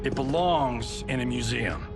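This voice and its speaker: An actor playing a cocky, wisecracking action movie star in a brash, quippy game cutscene style. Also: male voice, mid-range voice, a little slow, gravelly. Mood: cocky, defiant. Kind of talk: video game cutscene acting. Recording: loud cinematic music underneath.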